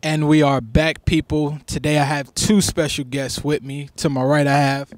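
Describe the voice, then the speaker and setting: A man's voice: fairly high pitch, little pitch movement, normal speed, slight background noise. Playful man hosting a casual interview. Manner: playful, laughs and teases guests. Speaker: host.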